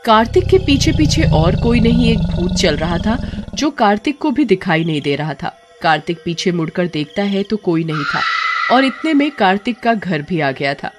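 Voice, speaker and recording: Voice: medium pitch, very animated, normal speed, noisy background. A narrator telling a scary story in scripted, dramatic storybook narration.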